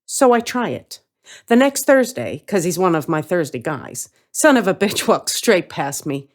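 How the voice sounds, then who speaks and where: A woman's voice: on the low side, very animated, measured pace. A woman reading a first-person story in character, with a wry, streetwise, colloquial manner. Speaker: story reader.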